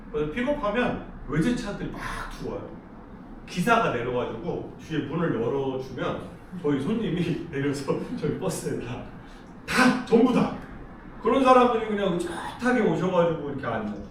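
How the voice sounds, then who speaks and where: A man's voice: fairly high pitch, sing-song, normal speed, far from the mic, a little hiss or hum. A middle-aged man from Seoul giving a casual, chatty lecture, telling stories with frequent laughs. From Seoul, South Korea. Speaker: lecture speaker. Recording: echoey hall, speaker off-mic.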